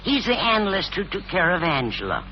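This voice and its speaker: A woman's voice: fairly low pitch, sing-song, a little fast. An actress playing a kindly, fussy older woman in a 1950s radio sitcom, reassuring in manner. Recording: dull 1950s radio recording with music.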